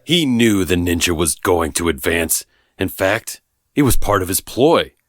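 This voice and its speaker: A man's voice: sits low, lively intonation, unhurried, fairly bright tone, no room sound, studio quality. A man narrating a scripted story in a dramatic storytelling style.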